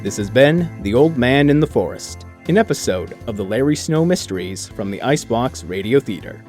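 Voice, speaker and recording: A man's voice: mid-range voice, lively intonation, normal speed, darkish tone, faint music behind. A man reading scripted closing credits as the announcer of a radio drama.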